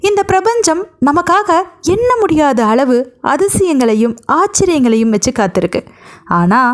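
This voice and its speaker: A woman storyteller narrates a tale in a high voice. Her pitch moves up and down a great deal as she tells it dramatically.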